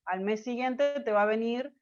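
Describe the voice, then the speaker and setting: A woman from Argentina with an Argentine Spanish accent, telling a personal story as an interview guest, pausing often with fillers and restarts. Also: fairly high pitch, highs cut off. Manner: hesitant, many fillers and restarts. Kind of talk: personal testimony in conversation.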